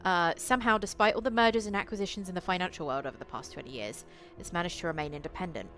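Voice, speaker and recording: Female game streamer, lower register, reading story text aloud with irreverent asides, eerie music underneath. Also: very fast, fairly bright tone. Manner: swears casually, playful asides to chat. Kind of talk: live stream commentary and reading visual novel aloud.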